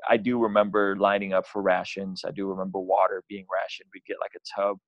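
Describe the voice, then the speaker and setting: A young man from Azerbaijan talks casually as a podcast guest. His voice sits low and the sound is dry and close. He rambles, restarts sentences and keeps filling gaps with "you know" and "like.". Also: little pitch movement, brisk, no room sound, dull audio. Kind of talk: casual interview chat.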